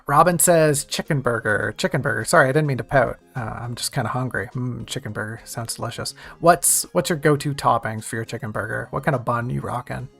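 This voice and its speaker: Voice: mid-range voice, brisk, gravelly, hardly any pauses, faint music behind. A game streamer chatting casually and jokingly with viewers during a let's-play. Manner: chatty, jokey, talks to chat.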